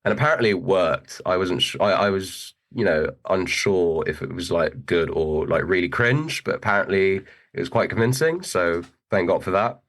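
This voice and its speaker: A young man with a British accent tinged with American, speaking as an actor guest in a relaxed, hesitant interview chat, laughing easily. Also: deep voice, normal speed, hardly any pauses. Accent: British with a hint of American.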